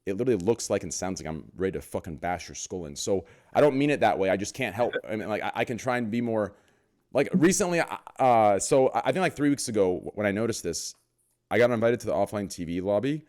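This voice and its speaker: A man with a deep, low voice hosts a casual podcast. He talks in an excitable, heated way that can sound like an attack, and he swears freely. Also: fast talker. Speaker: podcast host.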